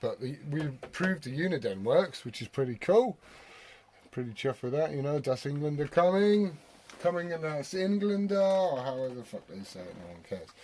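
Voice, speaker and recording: A man's voice: fairly high pitch, sing-song, normal speed, a little mellow, slight background noise. A British man talking in an informal, sweary, rambling way, switching between casual chat and CB radio calls. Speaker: CB radio operator and vlogger.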